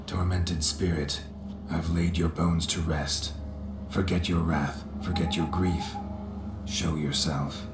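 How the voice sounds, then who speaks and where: An actor playing a gruff, weary monster hunter in a video game, speaking in terse, dry, low gravelly tones. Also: male voice, flat delivery, takes it slowly. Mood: solemn. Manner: terse, dry, clipped delivery. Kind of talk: video game character dialogue. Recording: roomy reverb, loud game music behind.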